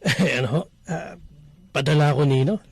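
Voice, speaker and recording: An actor playing an affable, deferential Filipino working man renting a room in a radio drama, chatty and chuckly, with a rough voice. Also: fairly high pitch, brisk, darkish tone, no room sound. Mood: cheerful, affable.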